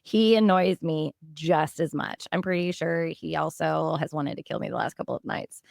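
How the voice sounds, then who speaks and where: Podcast co-host, woman, late thirties, hoarse and gravelly, dry close sound. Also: sits low, steady tone, normal speed, darkish tone, no echo. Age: 30s. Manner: laughs often, playful storytelling. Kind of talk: casual chat.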